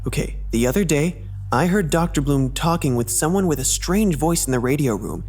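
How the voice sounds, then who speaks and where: A woman's voice: deep voice, lively intonation, a little fast, darkish tone, slightly rough. A woman playing a cautious, placating confidante in an audio drama. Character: cautious, calming female confidante.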